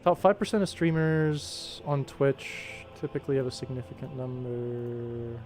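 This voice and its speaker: Laid-back man streaming over soft music. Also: mid-range voice, steady tone, measured pace. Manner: laid-back, thinking aloud while working. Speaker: animator, livestream host. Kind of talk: casual livestream work commentary.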